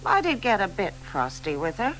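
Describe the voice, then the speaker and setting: A frosty, practical wife. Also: female voice, mid-range voice, very animated, normal speed, fairly bright tone, soft background music, poor audio. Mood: brisk. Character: poet's wife, practical, frosty, long-suffering. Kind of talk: radio drama acting.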